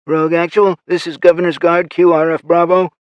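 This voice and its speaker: A man voicing a tough woman squad leader with a raspy voice, giving clipped military radio reports. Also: fairly high pitch, flat delivery, fairly quick, dry recording, voice pushed up, close to an everyday voice. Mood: brisk. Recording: muffled lo-fi recording.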